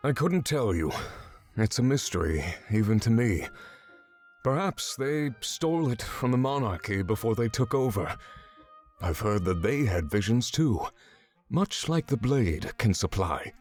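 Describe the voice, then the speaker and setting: An actor playing a stern, exacting swordsman mentor in a fantasy visual novel game, giving measured, commanding instruction. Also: lowish voice, sing-song, darkish tone. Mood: measured, guiding. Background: background music.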